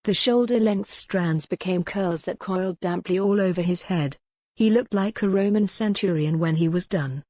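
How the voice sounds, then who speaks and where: A woman's voice: fairly low pitch, normal speed, darkish tone, no echo. A woman reading a historical romance novel as scripted audiobook narration.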